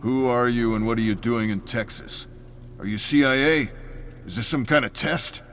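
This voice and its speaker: A man's voice: medium pitch, normal speed, fairly bright tone, natural voice. A man voicing an aggressive, foul-mouthed male agent barking threatening demands in a dramatic story. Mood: hostile, suspicious. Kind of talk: dramatic audiobook character voice.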